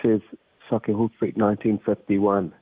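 A man with a Glasgow accent calls in to a radio show, his fairly low voice coming through a tinny, dry phone line. He talks hesitantly and fills pauses with ums and uhs. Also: flat delivery, slightly rough, no room sound. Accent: Scottish, Glasgow. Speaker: caller.

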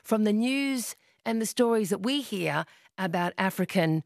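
A woman's voice: medium pitch, animated, unhurried, gravelly, dry recording, studio quality. A woman hosting a radio talk show, interviewing a guest in a conversational way with leading questions. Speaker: radio host.

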